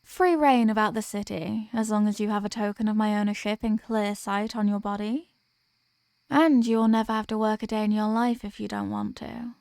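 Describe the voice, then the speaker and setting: A woman's voice: medium pitch, steady tone, normal speed, creaky, darkish tone, clean tone, no echo, studio quality, natural voice. A woman playing a possessive, dominant vampire in an ASMR roleplay, speaking in a teasing, condescending manner with formal phrasing. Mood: persuasive, smug. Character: possessive, dominant female vampire toying with captive prey. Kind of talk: ASMR roleplay, scripted intimate monologue.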